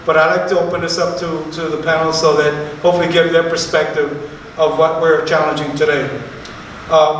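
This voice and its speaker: A man guides a panel with easygoing, conversational remarks. His voice sits a little high. He sounds distant, and the hall rings with a long echo and a faint hiss. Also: a bit bright. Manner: conversational, chatty asides. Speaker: moderator. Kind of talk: panel discussion moderating. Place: museum event space, live audience. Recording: echoey hall from far off.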